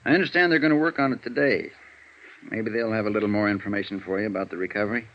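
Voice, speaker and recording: An American actor playing a tired, amiable middle-aged lawyer with a rambling, folksy manner in a vintage radio drama. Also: male voice, lowish voice, steady tone, fast talker, a little hiss or hum, close to an everyday voice. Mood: easygoing, musing. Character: tired, seedy, affable male lawyer about forty. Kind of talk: 1950s radio acting. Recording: muffled vintage radio recording.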